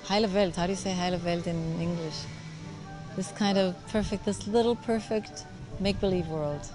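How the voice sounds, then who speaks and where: A woman's voice: on the low side, steady tone, moderate pace, low-quality recording. A woman reflecting in a documentary interview, searching for words. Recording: clear music bed under the voice.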